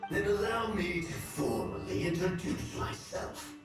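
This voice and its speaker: A man's voice: high-pitched, animated, unhurried. A man voicing a smug nightmare monster villain in a video game, speaking in a theatrical, formal, gloating drawl. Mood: smug, menacing. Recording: cavernous echo, distant, music behind.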